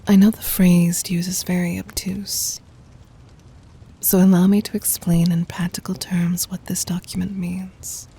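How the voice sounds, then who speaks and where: A woman performs a regal demon queen for an ASMR roleplay, in a lowish, soft and dark voice that is mostly breath. She speaks with formal poise and gentle dominance. Her commands come out tender, scheming and adoring. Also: little pitch movement, a little slow, heavily acted. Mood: regal, doting, composed. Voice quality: breathy, whispery.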